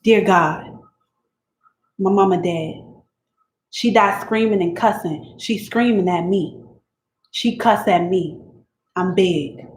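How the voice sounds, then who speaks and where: Woman performing a resigned, abused teen country girl writing to God; quiet rural dialect, mid pitch, echoey room. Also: close to an everyday voice. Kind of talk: dramatic reading of letters. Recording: roomy room echo.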